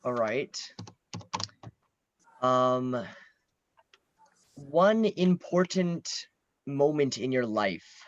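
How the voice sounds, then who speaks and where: Slow-talking young man, dull lo-fi sound. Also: mid-range voice, lively intonation, no echo. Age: teen or young adult. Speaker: interviewer. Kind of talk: casual interview. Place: online video call. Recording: dull lo-fi call audio.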